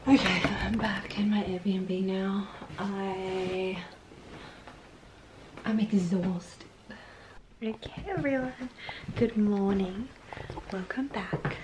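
A woman's voice: medium pitch, steady tone, drawn-out words, pauses often. A young woman in her twenties talking straight to a handheld camera as a casual travel vlogger, chatty and upbeat. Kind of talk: casual vlog narration. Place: outdoors on city streets, some indoor rooms. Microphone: camera held at arm's length. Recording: street noise and traffic behind.

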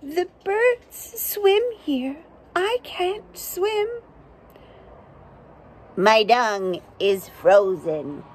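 A woman's voice: high-pitched, animated, very slow, long pauses, a little hiss or hum, pitched above the performer's own voice, exaggerated voice. Woman putting on a flustered little storybook animal. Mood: dismayed. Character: small cartoon animals, cold, flustered travelers in Antarctica.

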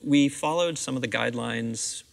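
Middle-aged American man, interviewed director, very creaky voice, recorded in a reverberant auditorium. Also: mid-range voice, moderate pace. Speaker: school theatre director being interviewed. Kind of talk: conversational interview answers. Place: seated on the stage of a theatre auditorium. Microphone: clip-on lapel microphone.

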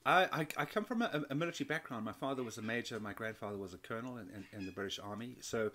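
A man's voice: mid-range voice, expressive, fast, sharp tone, slight background noise. A man in his fifties from South Africa, telling his story as an interview guest, with many restarts and repeated words. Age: 50s. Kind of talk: conversational interview.

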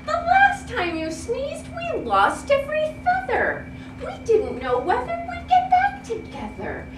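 A woman voicing panicked, pleading birds in a children's storybook, shrill and squawky. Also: huge pitch swings, unhurried, roomy sound, recorded from a distance, noisy background, heavily acted.

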